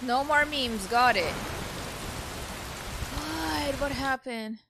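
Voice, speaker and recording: A young woman streamer reacting excitedly while playing a video game, swearing and laughing. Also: high voice, drawn-out words, gravelly, noisy background. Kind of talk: let's play commentary.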